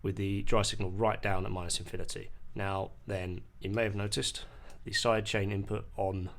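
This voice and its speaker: Low-voiced man giving a hesitant tutorial. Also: little pitch movement, gravelly, fairly bright tone. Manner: hesitant, explanatory, pausing mid-sentence. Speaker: music producer giving a tutorial. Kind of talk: unscripted screen-recorded software tutorial. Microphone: voice-over on a screen recording.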